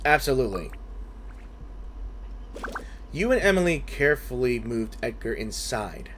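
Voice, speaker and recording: A man's voice: medium pitch, big pitch swings, a little slow, a bit bright, slight background noise. A man giving casual playthrough commentary on a game, blunt and teasing, swearing casually. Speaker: gaming streamer.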